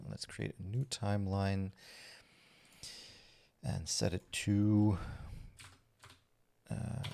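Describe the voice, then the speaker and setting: A man, chatting casually on a livestream and answering viewers' questions while he works, relaxed and rambling with lots of fillers and restarts. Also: low voice, vocal fry. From Belgium. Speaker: streamer answering chat.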